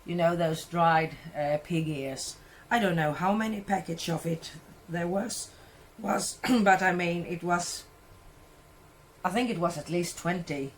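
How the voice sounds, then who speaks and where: Slow-talking Swedish woman chatting while cooking. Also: on the low side, slight background noise. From Sweden. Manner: rambling, self-correcting, chatty. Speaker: cooking vlogger. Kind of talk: casual cooking chat. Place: home kitchen, indoors.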